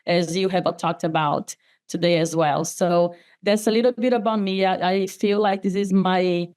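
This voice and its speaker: A woman's voice: fairly low pitch, steady tone, moderate pace, a little mellow. A woman from Brazil with a Brazilian Portuguese accent, a guest on a podcast, speaking earnestly over a video call and often restarting words. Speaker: nonprofit development specialist guest. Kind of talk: podcast interview, personal story.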